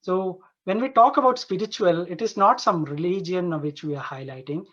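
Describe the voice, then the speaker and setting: A man from Dubai giving an informal conference presentation as an Ayurveda doctor, earnest and halting, often repeating and rephrasing his phrases. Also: high voice, fairly bright tone. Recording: dull, lo-fi online conference audio.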